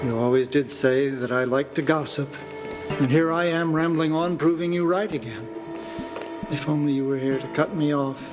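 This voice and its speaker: An actor playing a bereaved merchant in a video game, rambling and reflective, with a wistful, halting manner. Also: male voice, fairly high pitch, fairly even intonation, over loud music, lightly acted, poor audio.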